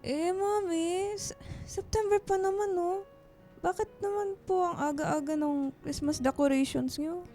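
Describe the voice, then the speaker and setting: A woman's voice: very high voice, unhurried, dark tone, soft background music. A woman playing a thirteen-year-old daughter in a Filipino radio drama, childlike, whiny and pleading but cheerful. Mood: whiny, reluctant. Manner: childlike, whining, polite pleading.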